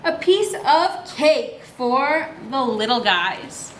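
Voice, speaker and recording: A woman's voice: sits high, sing-song, slow pace, heavily acted. A woman voicing a band of tiny, boastful, bossy forest creatures in a children's picture book, with proud, emphatic declarations. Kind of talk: picture book read-aloud for young children. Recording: roomy echo, faint background noise.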